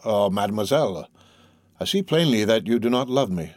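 A man's voice: mid-range voice, lively intonation, moderate pace, creaky, no room sound. Man acting a suave young army captain, dry sound. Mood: cold.

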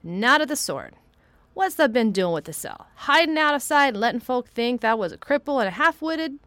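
A woman's voice: a little high, very animated, moderate pace, fairly bright tone, clean tone, no room sound, voice pushed up. A woman voicing a crabbed old male gardener in broad Yorkshire dialect, dry and blunt, as she reads a storybook aloud.

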